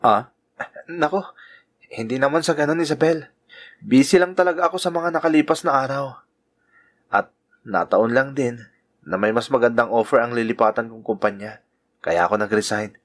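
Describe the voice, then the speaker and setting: A man's voice: medium pitch, normal speed, pauses often, close to an everyday voice. A man with a Filipino accent acting an earnest, self-sacrificing young lover and devoted brother in a sentimental Tagalog radio drama. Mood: sad, resigned. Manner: earnest, sentimental delivery, mixing in English phrases.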